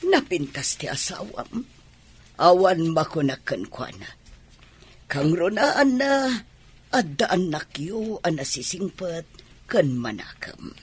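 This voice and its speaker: A woman acting an admonishing mother of a grown son, with a husky voice, in a radio drama. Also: fairly low pitch, big pitch swings, slow, exaggerated voice. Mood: gently reproachful.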